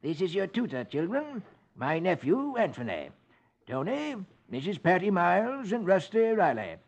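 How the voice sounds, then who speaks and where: A man's voice: sits high, huge pitch swings, normal speed, vocal fry, voice pushed up, full character voice. A man playing a sly, worried old New England squire with folksy, hesitant talk in 1950s radio style. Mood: welcoming. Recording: muffled, noisy old radio recording.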